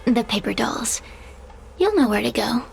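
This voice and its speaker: A woman voicing a sly, seductive possessing spirit in a video game, teasing and quietly menacing. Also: fairly high pitch, animated, gravelly, a little hiss or hum, heavily acted. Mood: smug. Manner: silky, teasing, deliberate.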